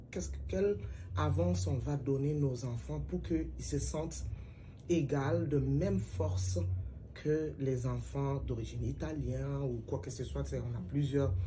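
A middle-aged woman from Mali, a singer and griot, giving measured, reflective interview answers with pauses. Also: extremely low pitch, mellow, a little hiss or hum, low-quality recording. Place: plain indoor room, seated at a table.

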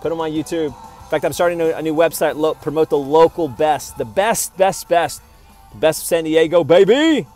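A man's voice: a little high, moderate pace, a little mellow, faint music behind. A man vlogging in a casual, rambling monologue, digressing often and talking in slangy, profane everyday speech.

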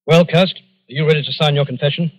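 An actor playing a brisk, businesslike Scotland Yard inspector in an old radio drama, clipped and authoritative. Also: male voice, a little high, fairly even intonation, lightly acted. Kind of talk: 1940s radio drama acting. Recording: muffled old radio recording.

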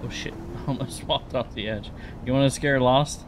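A man's voice: mid-range voice, normal speed. A man gaming as a police officer, chatting casually in short remarks during play. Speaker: gamer playing a police officer. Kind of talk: casual in-game chat. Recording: noisy game audio behind voice.

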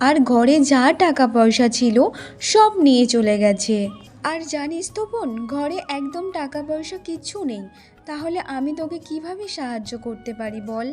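A woman's voice: high-pitched, animated, moderate pace, darkish tone. A woman voicing a boastful, rich, stingy elder sister in a cartoon fairy tale, talking down and making excuses. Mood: feigned regret. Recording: music behind the voice.

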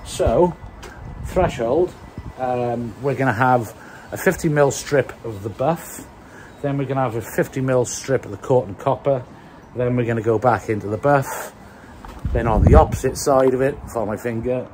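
A man's voice: mid-range voice, normal speed, darkish tone, slight background noise, rough recording. Chatty Welsh man in a garden. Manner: chatty, self-mocking, rambling asides. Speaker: landscaper. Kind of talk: casual how-to vlog. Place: outdoor garden beside a house. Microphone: handheld phone, later a plugged-in mic.

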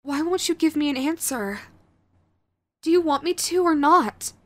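A woman's voice: high-pitched, animated, measured pace, fairly bright tone, dry recording. A woman playing a sweet, clingy, remorseful yandere girlfriend in an audio roleplay, hesitant and pleading, speaking intimately to the listener. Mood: hesitant, earnest. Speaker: voice actor.

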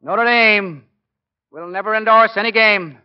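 A middle-aged American football coach in a 1940s radio drama. His voice is high for a man, and he speaks with earnest, plain-spoken oratorical force. The recording is muffled, as old broadcasts are. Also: unhurried, a crowd in the background. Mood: wry, folksy. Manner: emphatic, oratorical.

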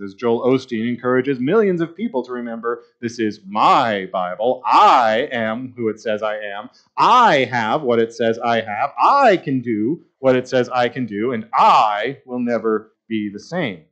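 A man in his thirties preaching a sermon at a church pulpit, conversational and wry with sarcastic asides. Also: mid-range voice. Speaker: pastor. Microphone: pulpit mic, far camera. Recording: slightly dull church livestream audio.

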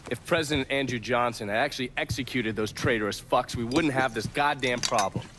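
A male actor plays a sardonic American operative in a crime game. He is brash and wry, in a mid-pitched voice with noticeable noise behind. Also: fairly quick, close to an everyday voice. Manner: wry, profane.

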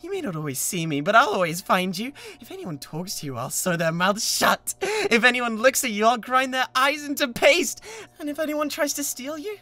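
A woman's voice: fairly high pitch, very animated, moderate pace. A British woman playing an obsessive, menacing young yandere classmate in a theatrical ASMR roleplay monologue. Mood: vengeful. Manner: theatrical, swinging between hushed coaxing and manic intensity.

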